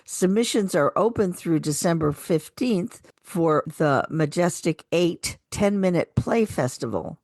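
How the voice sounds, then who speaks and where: A woman's voice: lowish voice, expressive, unhurried, few pauses, a little mellow, no echo. A woman co-hosting a radio show, reading scripted community calendar announcements.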